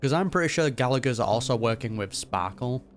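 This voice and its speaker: A man's voice: mid-range voice, lively intonation, brisk, slight background noise. A man giving casual, slangy, off-the-cuff commentary as he reacts to a video game. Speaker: gaming reaction host.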